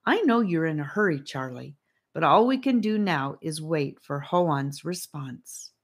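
A woman's voice: low voice, expressive, a little slow. A woman reading a storybook, voicing a cheery, theatrical boy who leads and reassures his friends. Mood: reassuring.

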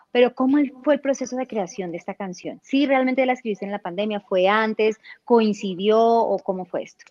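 A woman's voice: medium pitch, darkish tone, dry recording. A woman hosting an interview, asking long, wandering, encouraging questions. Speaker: interview host. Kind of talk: casual interview conversation. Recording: slightly dull sound.

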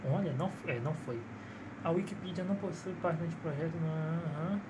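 A man's voice: a little high, fast talker, very noisy. A man with a Brazilian accent hosting a solo podcast, rambling in a cynical, profane and self-mocking way while holding his phone to record. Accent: Brazilian Portuguese. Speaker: podcast host. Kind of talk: casual solo podcast monologue. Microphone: phone held in one hand.

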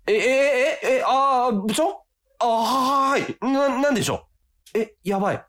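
A man's voice: very high voice, animated, measured pace, fairly bright tone, full character voice. An actor playing a self-pitying, melodramatic young office worker in a comic radio drama, narrating his inner thoughts in an overwrought way. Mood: panicked. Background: background music.

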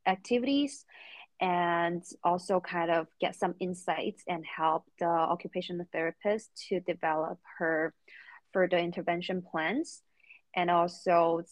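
Low-pitched young woman, English speaker. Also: fairly even intonation, no room sound. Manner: halting, careful phrasing in non-native English. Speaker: podcast guest, recent therapy student. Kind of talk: reflective interview answers.

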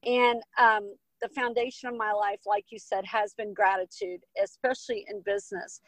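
A woman's voice: medium pitch, steady tone, normal speed, no echo, rough recording. A woman from Texas and Hawaii with an American accent, answering interview questions as a podcast guest in an earnest, emphatic, conversational way. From Texas and Hawaii, United States. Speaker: podcast guest, business coach and author.

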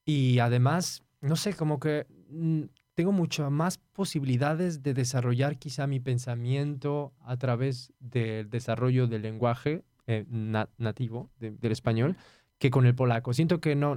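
A man's voice: mid-range voice, moderate pace, mellow, no echo, studio quality. A man hosting a podcast, talking casually and searching for words as he thinks aloud. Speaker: podcast host.

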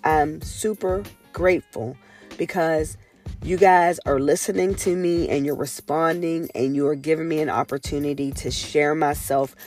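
A woman hosting her own podcast in a candid, casual monologue, her voice raspy and hoarse from a cold. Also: sits low, fairly even intonation, unhurried, a little mellow, faint music behind. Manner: candid, earnest, swears casually. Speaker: podcast host.

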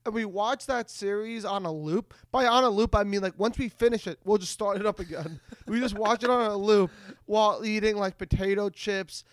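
Guy with a pretty high voice hosting a comedy podcast, stumbles over words, dry close sound. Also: moderate pace, dry recording. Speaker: podcast host. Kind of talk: casual comedic chat.

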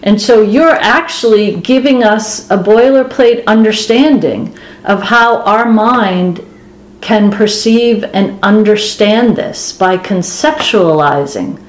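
A woman's voice: medium pitch, slow. A woman co-host earnestly explaining spiritual ideas in a long, expansive way. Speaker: podcast co-host. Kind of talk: spiritual discussion. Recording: roomy echo.

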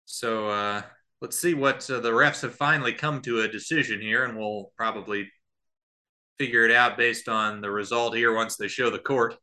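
A man calling live basketball play-by-play as a podcast host, somewhat halting between plays. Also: mid-range voice, fairly even intonation, sharp tone.

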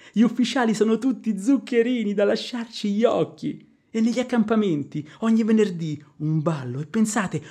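Husky, fussy old manservant. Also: male voice, high voice, mellow. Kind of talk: radio drama acting.

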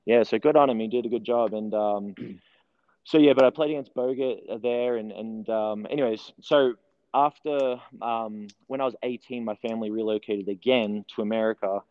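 A man's voice: on the low side, fairly even intonation, normal speed, smooth, no room sound, muffled recording. A man in his thirties with a mixed Australian and New Zealand accent, chatting as an interview guest and telling stories with lots of fillers and restarts. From New Zealand, raised in Australia. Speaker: guest, strength and conditioning coach. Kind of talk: casual interview conversation.

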